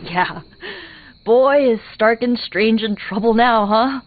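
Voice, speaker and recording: A woman's voice: a little high, slow pace, dull audio. A woman playing a casual, wry young superheroine among outcasts in a video game. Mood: teasing. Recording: band-limited game audio.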